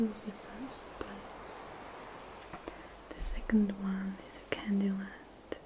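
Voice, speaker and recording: A young woman whispering a slow, dreamy ASMR guided visualization close to the mic, with soft murmured hums between phrases. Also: medium pitch, rough recording. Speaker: ASMR host.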